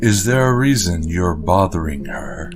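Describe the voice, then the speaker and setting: Woman putting on a deep, grim man. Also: animated, unhurried, music in the background. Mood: cold. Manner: low, clipped, warning. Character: grim protective man, cold and steady. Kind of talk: audiobook-style character voicing.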